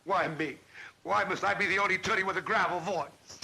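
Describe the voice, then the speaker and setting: Gravel-voiced sad sack. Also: male voice, high voice, brisk, pushed and bright, exaggerated voice. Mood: dejected. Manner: plaintive, self-pitying. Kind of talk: 1960s sitcom acting.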